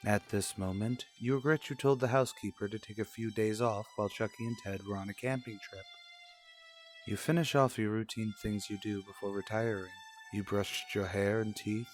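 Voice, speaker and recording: A man narrating an old-time radio serial, measured and dramatic, addressing the character directly. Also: lowish voice. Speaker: narrator and announcer.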